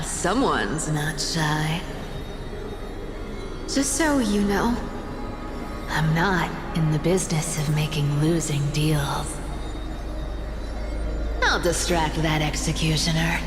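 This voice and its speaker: An actress voicing a playful, sly young woman thief with a tail in a video game, speaking in a teasing, unhurried way. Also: sits low. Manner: teasing, slow and breezy. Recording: echoey hall reverb with loud score.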